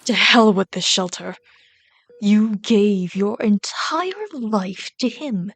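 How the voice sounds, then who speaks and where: A woman acts out a bitter villain hopelessly devoted to a hero's sidekick in an intimate ASMR roleplay. The delivery is slow and intense, at a middle pitch, often sinking into breathy whispers. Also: expressive, dry recording. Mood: scornful, accusing.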